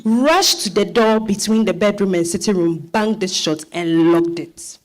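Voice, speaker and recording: A woman's voice: medium pitch, unhurried, darkish tone. A woman with a Ghanaian accent reading scripted narration as the narrator of a dramatised stage reading.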